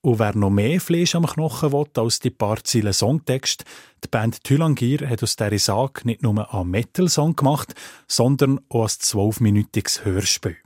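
A man with a Swiss German accent narrating a scripted radio feature as its presenter, with a husky voice. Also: medium pitch, normal speed, darkish tone, no echo, studio quality. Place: dry studio.

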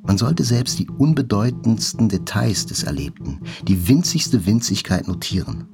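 An actor playing a writer's brooding inner voice in a grave, contemplative literary monologue. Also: male voice, lowish voice, sing-song, normal speed. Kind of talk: literary monologue in audio drama. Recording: clear music behind the voice.

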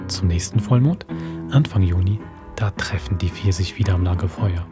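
A man's voice: low-pitched, normal speed, loud music behind the voice, close to an everyday voice. A man acting as the ominous storyteller of a horror audio series, narrating from a script. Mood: ominous, measured. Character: ominous male storyteller closing a campfire horror tale. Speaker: narrator and host.

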